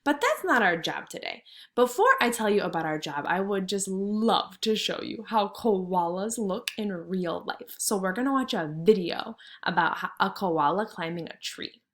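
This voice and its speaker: A woman's voice: mid-range voice, lively intonation, normal speed, fairly bright tone. A woman teaching kindergarten through a read-aloud, instructing and thinking aloud in simple words for young children.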